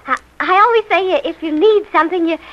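A young daughter with an extremely high, bright, piercing voice. She wheedles eagerly and haltingly and whines petulantly in 1940s radio comedy style. The vintage recording is muffled. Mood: sweet, flattering.

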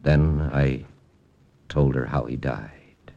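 A man's voice: a bass voice, slow, vocal fry, muffled recording, exaggerated voice. An actor playing a cool, persuasive American wartime lieutenant with an American accent, telling his story in hardboiled 1940s radio drama style. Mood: somber, reflective. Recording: old radio broadcast with music.

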